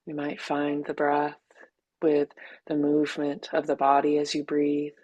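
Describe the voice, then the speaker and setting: Slow, low-voiced woman guiding meditation. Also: completely flat delivery, no room sound, dull audio. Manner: gentle, unhurried, long pauses between short phrases. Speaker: meditation teacher. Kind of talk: guided meditation.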